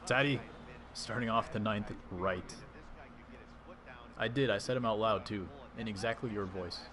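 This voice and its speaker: Low-voiced man chatting on a game stream. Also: unhurried, crowd noise. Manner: chatty, reads and answers chat while playing. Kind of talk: casual live-stream commentary.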